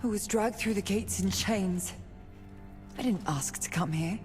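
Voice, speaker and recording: Young woman, played by an actress, bitter, defiant, proud, fantasy video game drama, medium pitch, long reverb. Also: unhurried, darkish tone, faint music behind, close to an everyday voice.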